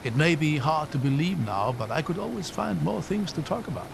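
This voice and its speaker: Wry, regretful fugitive companion. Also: male voice, on the high side, lively intonation, a little fast, gravelly, noisy background. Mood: gently probing. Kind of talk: video game cinematic acting.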